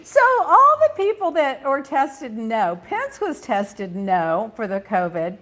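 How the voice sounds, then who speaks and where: A middle-aged woman hosting a talk show, chatting casually about gossip and news with blunt opinions and quick asides. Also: a little high, huge pitch swings, pushed and bright.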